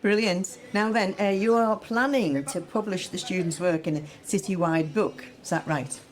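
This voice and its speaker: A woman interviewing on radio in a conversational, measured, musing manner. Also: mid-range voice.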